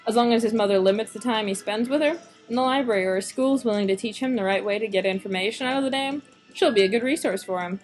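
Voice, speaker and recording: A woman's voice: on the high side, quick pace, few pauses, soft background music, natural voice. A woman playing a tough, no-nonsense private detective in an amateur noir radio drama, curt and streetwise. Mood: brooding narration.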